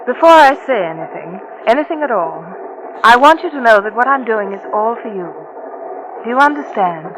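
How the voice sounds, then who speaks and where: An actress playing a controlling wife in 1940s radio drama, sweetly condescending and coolly scheming behind solicitous endearments. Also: female voice, fairly high pitch, expressive, moderate pace, lightly acted. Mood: coaxing, insistent. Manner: solicitous endearments over cool, insistent control. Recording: noisy vintage 1940s radio recording.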